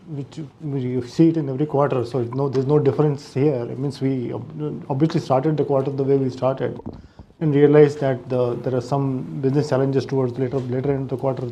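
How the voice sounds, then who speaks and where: Indian exec guy, kinda high voice but really mellow, choppy and keeps correcting himself. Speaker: company executive. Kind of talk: answering questions on an earnings call.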